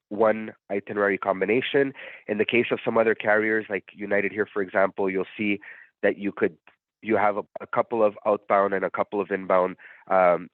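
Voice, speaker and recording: A man's voice: fairly low pitch, dry recording. Nasal man with a cold, phone-like sound. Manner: relaxed, explanatory, frequent fillers. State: under the weather, nasal. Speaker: booking platform presenter. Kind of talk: live software demo walkthrough. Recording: dry, phone-like narrow sound.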